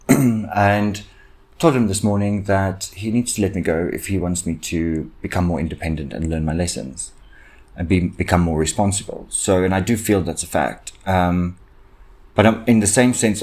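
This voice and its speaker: A young man whose first language is Afrikaans, hosting a solo podcast, talking in a halting, anxious, self-reflective way with many ums and restarts. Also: low voice, a little mellow. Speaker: podcast host. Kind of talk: solo personal podcast rant.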